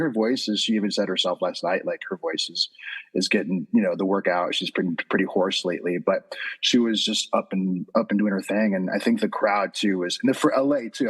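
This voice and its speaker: A man with a fairly low, somewhat husky voice, talking casually as a podcast guest. He tells his stories in a chatty, animated way and slips into quoted asides. The texture is breathy rather than smooth. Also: rough recording.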